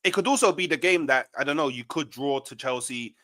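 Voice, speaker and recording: A man's voice: on the high side, a little fast, a bit bright. A man in casual, slangy football banter as a fan panellist, often tagging his points with "innit". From England.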